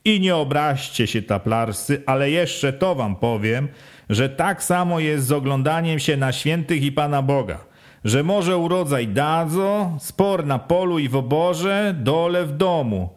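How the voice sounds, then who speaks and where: Forceful village official, loud and pressing, insistent questioning, as a male reader puts him on. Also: on the high side, lively intonation, measured pace, pitched above the performer's own voice. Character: forceful village official, a middle-aged man, pressing and sly.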